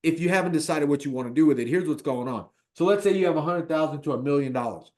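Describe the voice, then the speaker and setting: A man of fifty talking casually about personal finance as an investor, animated, repeating points and checking in with "right?". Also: fairly high pitch, fast. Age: 50s. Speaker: real estate investor.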